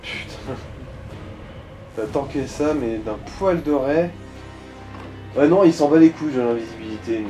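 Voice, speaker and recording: A man's voice: mid-range voice, measured pace, long pauses, dark tone, soft background music, low-quality recording. A man giving casual, slangy Let's Play commentary and coaching a player, with some swearing. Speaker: gaming co-host guiding a player.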